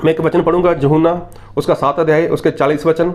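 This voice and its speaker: A man's voice: on the high side, level tone, fairly quick. A man from Punjab, preaching a sermon in a repetitive, emphatic teaching style and asking rhetorical questions. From Punjab, India. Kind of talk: Hindi sermon with Bible readings.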